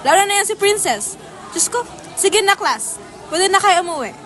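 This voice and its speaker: Scolding classroom teacher played by a young Filipino woman, high-pitched, brisk and bossy, crowd chatter behind. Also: animated, fairly bright tone, a little hiss or hum. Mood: stern, brisk. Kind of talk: amateur school radio drama acting.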